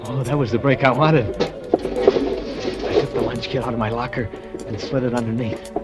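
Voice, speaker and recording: A man's voice: mid-range voice, natural voice. An actor narrating as a drugged, panicky male factory worker in a 1940s radio drama. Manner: breathless, panicky first-person narration. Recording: old radio broadcast with music.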